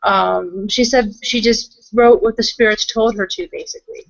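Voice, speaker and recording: A woman improvising roleplay conversation, thinking aloud haltingly and often repeating her phrases. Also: a little high, vocal fry, dry recording, highs cut off. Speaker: tabletop roleplaying player and game runner.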